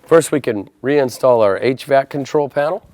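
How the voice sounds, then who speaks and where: A man's voice: mid-range voice, moderate pace, darkish tone, no echo, studio quality. A man presenting an installation tutorial, talking through each step in a plain, methodical, procedural way. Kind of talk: step-by-step instructional narration.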